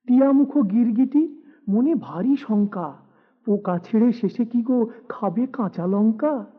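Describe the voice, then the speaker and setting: A man reciting rhymed poetry in an animated, theatrical and whimsical manner. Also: extremely high pitch, unhurried, husky, narrow, tinny audio.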